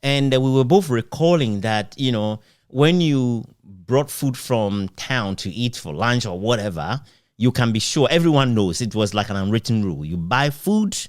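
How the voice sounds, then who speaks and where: A man with a Ghanaian accent interviewing in a casual, reminiscing way, expressive and given to chuckling between phrases. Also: mid-range voice, normal speed, no echo, studio quality.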